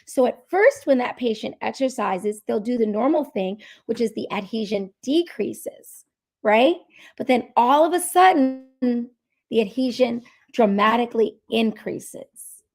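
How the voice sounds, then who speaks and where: A woman with a cold and a hoarse voice, giving an explanatory medical talk at a seminar and repeating key points. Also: on the high side, animated, a little slow, darkish tone. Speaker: medical speaker.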